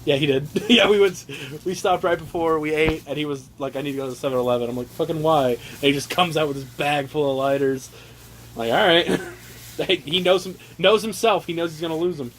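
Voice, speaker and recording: Higher-voiced young man, joking over background noise. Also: fairly quick. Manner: mock-earnest reading, sweary, jokey. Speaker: reader among his brothers. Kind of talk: joking read-aloud with casual asides. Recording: noisy amateur home recording.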